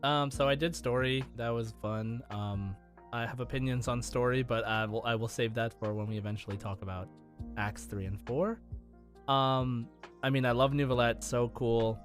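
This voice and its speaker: A man's voice: mid-range voice, steady tone, normal speed. A man co-hosting a gaming podcast, chatting casually in a rambling way with music behind him. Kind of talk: casual chat.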